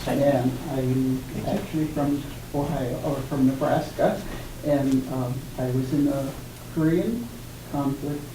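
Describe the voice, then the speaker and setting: A woman over seventy introducing herself in a halting way. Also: extremely low pitch. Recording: echoey room, distant mic, noisy.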